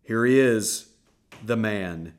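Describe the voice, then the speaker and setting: A man voicing an anxious, wavering Roman governor, loud and strained with a rising, higher pitch. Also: slow. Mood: agitated.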